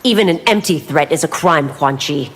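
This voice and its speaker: An actress playing a stern, relentless law enforcer in a video game, firm and authoritative. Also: female voice, low-pitched, animated, moderate pace, breathy edge, long reverb tail. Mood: resolute. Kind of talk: video game character dialogue.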